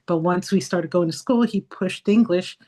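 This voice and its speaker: A woman's voice: fairly low pitch, normal speed, mellow. A native Spanish-speaking woman on a school committee, sharing personal experience in a conversational way. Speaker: committee member. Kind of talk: committee meeting discussion.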